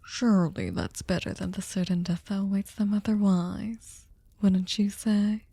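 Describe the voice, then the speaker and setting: A woman playing a sadistic, possessive, centuries-old vampire lord in an intimate ASMR roleplay, speaking theatrically and tauntingly, often dropping into breathy whispers. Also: fairly low pitch, mellow, no room sound, heavily acted.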